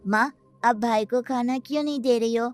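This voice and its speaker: Woman doing a little cartoon boy, high voice, innocent, loyal, earnest kid talk. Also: moderate pace, no room sound, soft background music, natural voice. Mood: protective. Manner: earnest, childlike.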